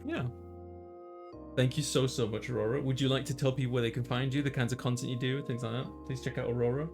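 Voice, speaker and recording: A man's voice: medium pitch, fairly even intonation, fast talker, creaky. Male streamer chatting casually over music. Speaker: video game streamer and host. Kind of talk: casual banter while wrapping up a gaming session.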